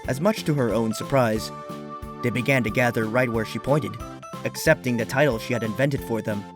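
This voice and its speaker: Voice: mid-range voice, moderate pace, over music. A storyteller reading a scripted fictional narration.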